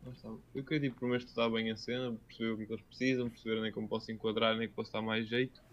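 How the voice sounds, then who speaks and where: A man's voice: on the low side, little pitch movement, very fast, lo-fi sound, a little hiss or hum. A young man with a European Portuguese accent, chatting casually as a podcast co-host. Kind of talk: casual chat.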